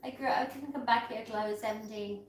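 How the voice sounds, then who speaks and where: A woman's voice: on the high side, fast. Giggly woman, far from the mic. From Africa. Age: 40s. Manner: giggly, animated, laughs often. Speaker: co-host partner. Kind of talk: casual livestream chat. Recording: echoey room, distant from mic, faint noise.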